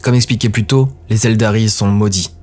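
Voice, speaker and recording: A man narrating a dark fantasy audio drama in a steady, grave way. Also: on the low side, brisk, faint music behind.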